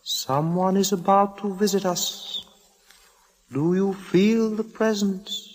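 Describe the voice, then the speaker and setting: An actress playing a solemn, theatrical fortune-teller madame in 1940s radio style, with a slow, commanding delivery. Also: female voice, medium pitch, fairly even intonation, a little mellow. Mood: solemn, trancelike. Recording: old radio recording with music.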